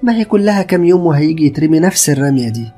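A woman with an Egyptian accent voicing a cruel female jinn who talks in a high, teasing, sinister way. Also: animated, racing, warm tone, soft background music. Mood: gloating.

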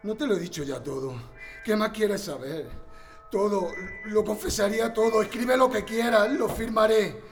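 Radio drama role: panicked male prisoner, strained up very high, begging for mercy over music. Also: moderate pace, roomy sound, recorded from a distance. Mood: pleading. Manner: pleading, breaking into frantic shouts. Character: terrified male prisoner, desperate, begging and pleading frantically. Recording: music behind the voice.

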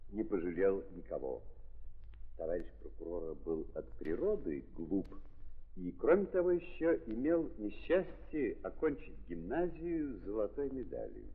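A man narrating as a grave, ironic storyteller in a theatrical 1930s stage style, with emphatic dramatic pauses. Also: mid-range voice. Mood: wry, ironic. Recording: muffled 1930s recording, long reverb.

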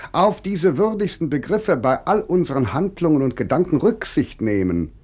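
Sharp-witted, husky, animated young writer. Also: male voice, medium pitch. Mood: earnest, emphatic. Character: Lessing, sharp-witted mocking young male writer and critic. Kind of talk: dramatic reading of letters and essays in a German radio feature.

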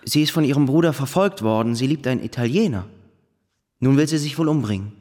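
Actor as matter-of-fact idler in echoing hall. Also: male voice, mid-range voice, animated, quick pace, warm tone, lightly acted. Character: weary, handsome idler acting as interpreter. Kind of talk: radio play acting. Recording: reverberant hall.

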